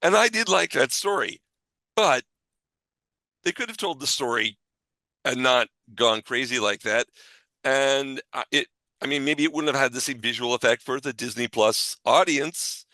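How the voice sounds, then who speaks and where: A man co-hosting a casual podcast discussion, halting as he thinks aloud and rephrases his points. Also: medium pitch, sharp tone, dry recording. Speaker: podcast co-host.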